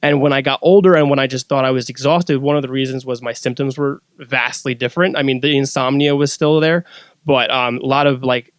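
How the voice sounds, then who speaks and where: A man's voice: medium pitch, steady tone, brisk, clear, resonant tone, no echo, studio quality. A man speaking as a podcast guest, casually telling a personal story.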